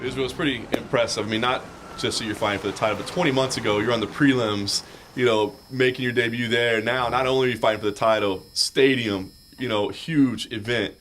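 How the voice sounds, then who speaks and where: A man's voice: mid-range voice, fairly even intonation, brisk, a bit bright, soft background music. A male sports interviewer asking questions in a conversational, probing way.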